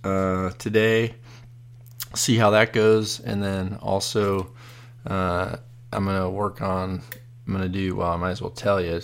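A hoarse man hosting a live podcast, chatting casually and rambling with many fillers and restarts. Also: fairly low pitch. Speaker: podcast host.

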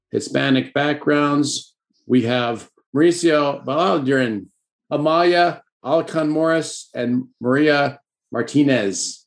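A man's voice: mid-range voice, gravelly. A man hosting a podcast, opening the show and inviting guests to speak in a loose, conversational way. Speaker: podcast host. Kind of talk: podcast hosting, intro and moderating.

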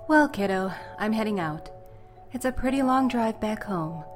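A woman's voice: medium pitch, expressive, moderate pace, faint music behind, close to an everyday voice. A woman acting in an audio drama as a protective, teasing mother who fusses affectionately and speaks bluntly. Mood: fond, concerned. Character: protective, teasing mother of a blind college student.

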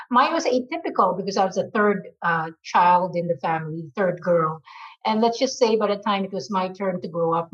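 A woman's voice: medium pitch, muffled recording. A middle-aged woman from the Philippines, a marketing executive answering interview questions earnestly with many fillers.

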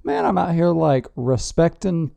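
Low gravelly lady joking around on a podcast, dark mellow tone. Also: a little slow, dry recording. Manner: joking, teasing. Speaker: podcast co-host. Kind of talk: casual chat.

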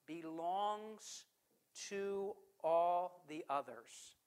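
A man's voice: high-pitched, very slow, clean tone. Emphatic preaching man. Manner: emphatic preaching with rhetorical questions; loudness swings from forceful to hushed. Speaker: pastor. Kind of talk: sermon.

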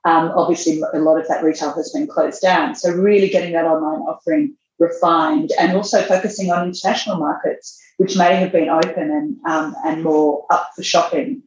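A woman, a magazine editor answering interview questions in a measured way, thinking aloud as she goes. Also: low-pitched, a little mellow, a little hiss or hum. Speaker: fashion magazine editor, guest. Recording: roomy, dull lo-fi sound.

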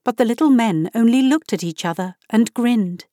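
A woman reading a children's story aloud as a narrator, in a measured style. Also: on the high side, animated, mellow, dry recording, studio quality. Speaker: volunteer audiobook reader.

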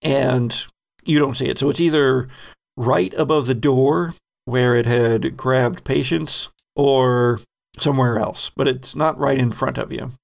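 A man narrating as game master of a tabletop horror game, describing scenes at an unhurried pace. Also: medium pitch, fairly even intonation, creaky.

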